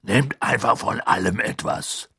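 A man voicing a gruff, proud giant gamekeeper who talks in halting, plain speech. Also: low voice, expressive, pushed and bright, no room sound, clean studio sound, full character voice.

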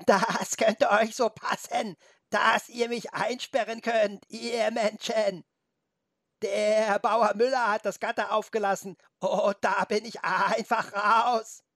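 A grumpy storybook nanny goat, acted out by a man, bleating in a very high voice. She drags her words out slowly and complains defiantly. She is muddled and will not budge. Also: level tone, fairly bright tone, no echo, clean studio sound, full character voice. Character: stubborn, muddled, grumpy female talking goat. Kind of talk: children's storybook character voice.

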